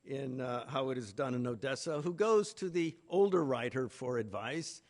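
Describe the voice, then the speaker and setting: A man giving a literary lecture in a deliberate, emphatic manner with pauses between phrases. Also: medium pitch, animated, a little mellow. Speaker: conference speaker, literary lecturer. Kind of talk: literary lecture with read-out quotations.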